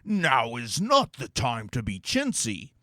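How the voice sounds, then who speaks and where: A man voicing a theatrical, greedy male demon that talks in grandiose, scornful tones, with a strained, drawn-out delivery. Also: mid-range voice, wildly swooping pitch, bright, forceful tone, dry recording, studio quality, full character voice.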